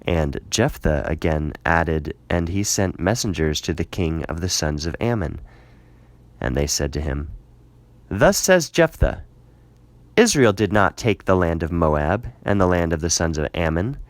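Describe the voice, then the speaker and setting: A man narrating scripture aloud in a measured, dramatic reading style, phrasing deliberately. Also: deep voice, no room sound.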